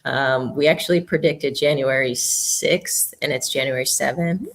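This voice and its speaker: Low-voiced upbeat American woman. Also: a little slow, vocal fry, a little hiss or hum. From United States. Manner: upbeat, laughs often, chatty. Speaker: hockey guest and former player. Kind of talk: casual interview chat.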